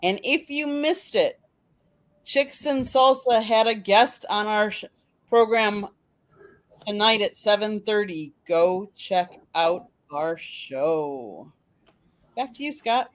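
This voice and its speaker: A woman's voice: medium pitch, takes it slowly. A woman co-hosting a sports podcast, reading out plugs, links and contact details. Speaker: co-host reading announcements. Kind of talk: reading show announcements.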